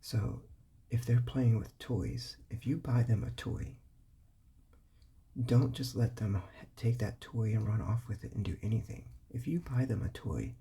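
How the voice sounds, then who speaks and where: A man whispering calm, patient parenting advice, repeating his points as he explains. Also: medium pitch. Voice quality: whispery, breathy, gravelly.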